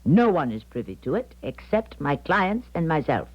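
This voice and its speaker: Casting: a formal, imperious female medium for vintage radio drama, highly animated delivery, muffled recording. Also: moderate pace. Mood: firm, guarded. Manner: formal, dramatic, precise diction. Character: weary, proud female clairvoyant medium, theatrical and firm. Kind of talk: 1970s radio drama acting with narration. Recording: dull 1970s radio broadcast recording.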